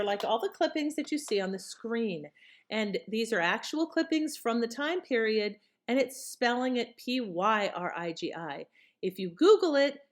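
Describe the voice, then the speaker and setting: A woman host giving a casual, rambling introduction in a conversational way. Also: mid-range voice. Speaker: host introducing old radio shows. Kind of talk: casual explanatory chat.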